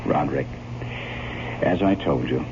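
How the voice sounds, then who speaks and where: A man playing an anxious but resolute gentleman narrator in a radio horror drama, speaking formally and earnestly. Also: very deep voice, unhurried. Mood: urgent. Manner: earnest, insistent, formal speech. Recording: noisy, muffled old radio broadcast recording.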